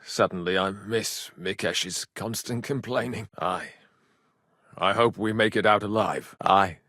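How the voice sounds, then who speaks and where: A man voicing a gruff, sardonic, hard-drinking medieval mercenary in a video game, with a blunt, earthy, foul-mouthed way of talking. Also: lowish voice, bright, forceful tone, no echo, studio quality, heavily acted. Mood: weary, subdued. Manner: earthy, blunt, swearing, wry. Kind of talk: video game character dialogue.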